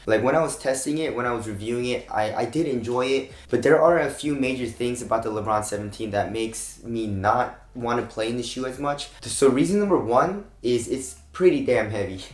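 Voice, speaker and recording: Slightly hoarse man in an echoey room. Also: mid-range voice. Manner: chatty, addresses viewers directly as "you guys". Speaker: shoe review channel host. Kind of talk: casual product review.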